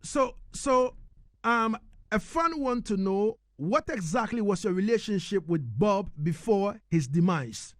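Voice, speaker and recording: A man's voice: high-pitched, lively intonation, measured pace, dry recording, clean studio sound. A man hosting a live radio interview in an emphatic manner, often checking that he is understood. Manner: emphatic, repeats "you know what I mean". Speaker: radio host. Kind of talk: live radio interview, reading listener messages.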